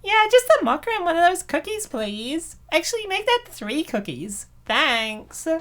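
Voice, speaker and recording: A woman's voice: high voice, sing-song, a little slow, a bit bright, exaggerated voice. A woman voicing a cheerful, self-indulgent young woman in an amateur comic dub. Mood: casual, eager.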